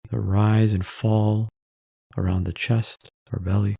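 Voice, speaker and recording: A man leading a guided meditation in a soft-spoken, measured, calming way with pauses between phrases. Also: fairly low pitch, creaky, no room sound.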